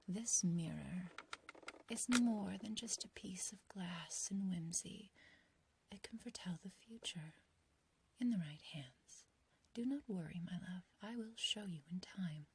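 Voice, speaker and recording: A woman playing an ancient, beautiful witch in an ASMR roleplay, obsessively loving and menacing, speaking in a breathy whisper with archaic, theatrical phrasing. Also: on the low side, heavily acted. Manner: slow, theatrical, archaic wording, doting yet condescending.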